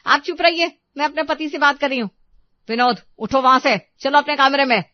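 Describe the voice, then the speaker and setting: A woman voicing a sharp, domineering wife and mother in a family storybook tale, bossy and scolding. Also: mid-range voice, expressive, a little fast, no room sound, lo-fi sound, natural voice. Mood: angry, jealous. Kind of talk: dramatized storybook narration.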